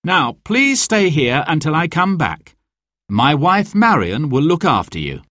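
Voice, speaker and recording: A man's voice: on the high side, big pitch swings, a little slow, a bit bright, no echo, studio quality, voice pushed up, heavily acted. A man voicing a bold young outlaw hero who speaks in a commanding, upbeat way.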